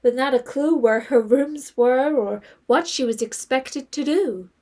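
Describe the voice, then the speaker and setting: A composed female narrator tells a period story in a high-pitched voice. The delivery is scripted, measured storytelling for an audio drama. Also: dark tone.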